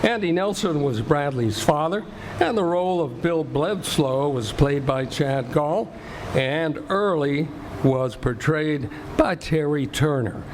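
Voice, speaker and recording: A man's voice: fairly high pitch, animated, takes it slowly, slight background noise. A man acting as the folksy, tongue-in-cheek storyteller of a western radio play, reading scripted narration. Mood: announcing. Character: storytelling narrator of an Old West legend. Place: live performance at a community center.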